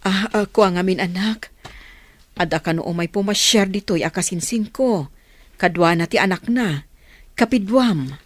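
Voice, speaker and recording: A woman's voice: mid-range voice, normal speed, long pauses, close to an everyday voice. A woman acting an anxious, evasive mother guarding a secret in an Ilocano radio drama.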